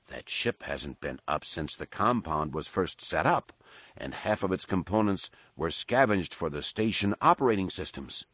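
A man voicing a disguised alien warrior, calm, cold and deliberate. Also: low-pitched, no echo. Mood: coldly menacing. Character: menacing male alien warrior disguised as a soldier.

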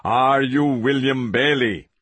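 A man's voice: mid-range voice, takes it slowly, a bit bright, slightly rough, no echo, voice pushed up. A man doing a roaring, domineering interrogator. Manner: roaring, commanding.

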